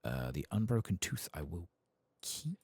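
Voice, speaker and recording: A man giving soft-spoken ASMR game commentary in a gentle, breathy murmur that often drops toward a whisper, close to the microphone. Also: low-pitched, big pitch swings, unhurried, no room sound. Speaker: game streamer.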